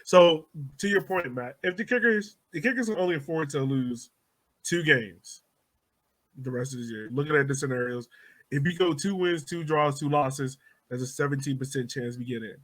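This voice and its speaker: A man's voice: on the high side, moderate pace, a bit bright, no room sound. A man hosting a casual sports podcast, outgoing and given to repeating his short phrases. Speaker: podcast host.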